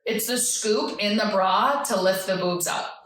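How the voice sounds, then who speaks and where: A woman's voice: medium pitch, measured pace, fairly bright tone. Teasing woman in her twenties, echoey. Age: 20s. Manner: teasing, gossipy, laughs often. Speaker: podcast co-host. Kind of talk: casual chat. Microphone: phone. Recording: echoey room, phone from far off.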